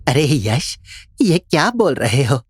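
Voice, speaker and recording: A man's voice: on the high side, sing-song, slow, a little hiss or hum. A man voicing a distressed, worn-out middle-aged aunty in an audio drama, pleading and reproachful. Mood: upset, reproachful. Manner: pleading, plaintive scolding. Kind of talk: Hindi audio drama acting.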